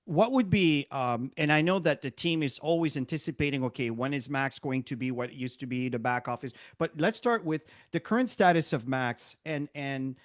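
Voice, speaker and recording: A man's voice: mid-range voice, moderate pace, few pauses, dry recording, studio quality. A man hosting a video call, asking questions with many fillers and hesitations. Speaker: call host.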